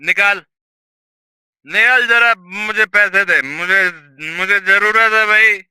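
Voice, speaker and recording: An actor playing a drunken, bullying husband in a story, angry and callous, with slurred, drawn-out bluster. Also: male voice, sits high, bright, forceful tone, clear, resonant tone, no echo, heavily acted, low-quality recording. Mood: angry, demanding. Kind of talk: dramatized audio story acting.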